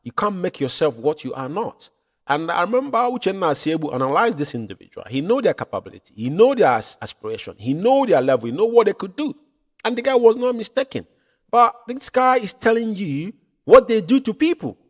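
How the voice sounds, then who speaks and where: A man hosting a political commentary show from home, building into loud, impassioned rants. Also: high-pitched, sing-song, fairly quick. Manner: rises to loud impassioned ranting. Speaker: show host.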